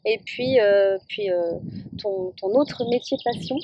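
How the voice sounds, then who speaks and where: A woman's voice: mid-range voice, slow, highs cut off, background noise. A woman interviewing a nature guide outdoors, asking chatty questions with fillers. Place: outdoors at a scenic overlook.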